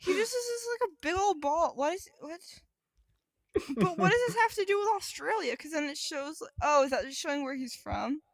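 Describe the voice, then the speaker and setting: A woman's voice: high voice, lively intonation, normal speed, no room sound. A woman co-hosting a comedy podcast with casual, crude, irreverent banter and free swearing. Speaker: podcast co-host.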